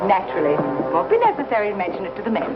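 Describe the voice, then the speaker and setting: A woman's voice: medium pitch, huge pitch swings, racing. An actress playing an indignant, gossipy and determined frontier mother in a 1950s television Western. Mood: scandalized, determined. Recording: dull old TV soundtrack with music.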